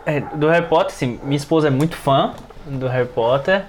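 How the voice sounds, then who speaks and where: A man's voice: a little high, brisk, slight background noise. A man with a Brazilian accent in a casual podcast discussion, hesitating and repeating words as he explains. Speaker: podcast participant.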